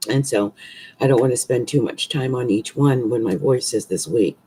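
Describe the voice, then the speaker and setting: A middle-aged woman astrologer with a hoarse voice, giving a casual forecast from a small room at home into a webcam, rambling with many fillers and restarts. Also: very deep voice, normal speed, dark tone, low-quality recording. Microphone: webcam at desk.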